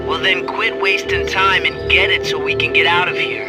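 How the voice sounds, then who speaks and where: Man doing tough sarcastic teen guy, kinda high voice, grumbly, game cutscene w/ loud music. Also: steady tone, normal speed, close to an everyday voice. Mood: dry. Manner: sardonic, grumbling. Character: Kevin, tough sarcastic teenage boy with a beloved car. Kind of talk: animated video game cutscene acting.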